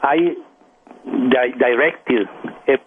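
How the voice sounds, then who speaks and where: Caller: man, earnest and halting, on a thin phone line with light hiss. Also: medium pitch. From El Salvador. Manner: halting, earnest, many restarts. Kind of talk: call-in radio comment. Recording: phone line with faint noise.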